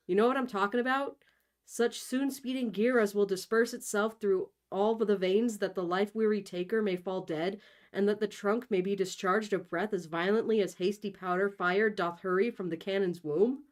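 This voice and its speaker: A woman's voice: medium pitch, normal speed, a little mellow, lightly acted. A woman voicing a dramatic, pushy young lovestruck hero in a comic storybook reading, with slangy, bossy delivery. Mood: impatient, pushy. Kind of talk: comic storybook read-aloud.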